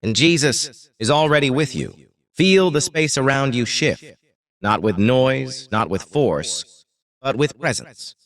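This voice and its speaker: Man leading meditation with widely moving pitch. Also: a bit bright, no room sound, clean studio sound. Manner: soothing, deliberate, short phrases with pauses. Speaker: guided meditation narrator.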